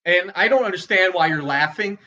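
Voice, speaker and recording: A man playing a volatile, failing stand-up comedian in a screenplay read, halting, stammering and exasperated. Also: high-pitched, pushed and bright, lo-fi sound. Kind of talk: dramatic screenplay table read, stand-up monologue.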